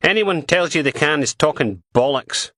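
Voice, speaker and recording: A man's voice: on the high side, expressive, normal speed, fairly bright tone, dry recording, studio quality, pitched above the performer's own voice. A man voicing a blunt, foul-mouthed female police dog handler who talks crudely and scornfully. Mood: blunt, scoffing.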